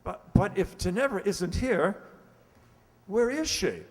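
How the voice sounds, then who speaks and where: An actor playing a flustered, money-worried English lord in a radio play, husky and stammering with exclamatory bluster. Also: male voice, sits high, expressive, takes it slowly, darkish tone, heavily acted. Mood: flustered, dismayed. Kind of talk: old-time radio drama acting. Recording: echoey hall, long reverb.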